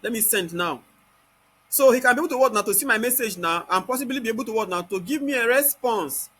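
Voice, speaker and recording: Nigerian man, software instructor, high-pitched, bright forceful tone, informal step-by-step teaching, question-and-answer habit. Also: expressive, fast. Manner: constantly asks rhetorical questions and answers them. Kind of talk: informal step-by-step screen tutorial.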